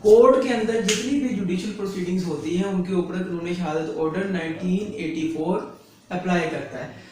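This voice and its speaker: A man with a Pakistani accent giving an instructional lecture in a didactic manner, repeating key phrases for clarity. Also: high-pitched. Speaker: lecturer and advocate explaining law. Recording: very echoey room, distant mic, faint noise.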